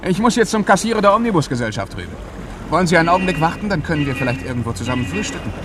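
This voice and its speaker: A breezy young male clerk in a German radio drama. He speaks offhandedly in a fairly high voice, with clear noise of boats and city outdoors behind him. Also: very animated, very fast. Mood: breezy, amused. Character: breezy young male bank clerk, unaware of his visions. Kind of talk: German radio play acting. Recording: noisy outdoor city and boat ambience. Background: outdoor urban and boat sounds.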